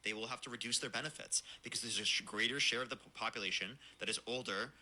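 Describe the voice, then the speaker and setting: A man hosting a livestream debate, explaining his points at length in a rapid, lecturing way. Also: medium pitch, bright, forceful tone.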